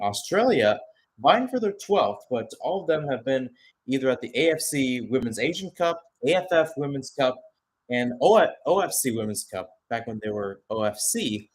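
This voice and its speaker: A man doing live radio-style soccer commentary as the play-by-play announcer, narrating in short clipped phrases. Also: mid-range voice.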